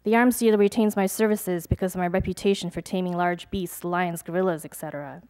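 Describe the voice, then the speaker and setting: A young adult woman, a poet, reading her poems aloud at a live event, measured and deliberate, with chatty, hesitant asides between pieces. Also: fairly low pitch, fairly even intonation, a little mellow. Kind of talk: poetry reading with casual asides to an audience.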